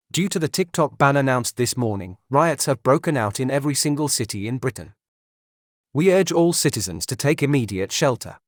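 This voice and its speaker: A man playing a grave emergency broadcast announcer, reading a scripted bulletin in a formal, measured way. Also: medium pitch, no room sound, studio quality, close to an everyday voice.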